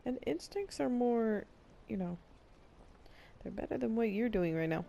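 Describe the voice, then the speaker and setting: A woman's voice: fairly high pitch, expressive, unhurried, vocal fry, warm tone. A woman streamer giving sassy, sarcastic live reaction commentary over a video game. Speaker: gameplay streamer. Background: game music.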